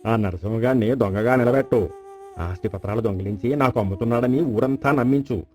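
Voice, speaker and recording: An actor playing a scheming rival landlord, the villain of a folk tale, insinuating and persuasive. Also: male voice, medium pitch, brisk, darkish tone, faint music behind, lightly acted. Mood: sly, persuasive. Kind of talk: dramatized folk tale acting.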